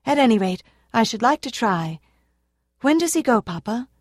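A woman voicing a sweet, earnest little girl who speaks in a soft, pleading way. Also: a little high, very animated, moderate pace, no room sound, studio quality, voice pushed up.

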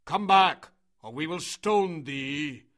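A hostile villager performed by a male storyteller shouts accusations in a storybook tale. The voice leaps high and rings sharp, full of superstitious fury. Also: lively intonation, slow. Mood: threatening. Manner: shrill, forceful shouting.

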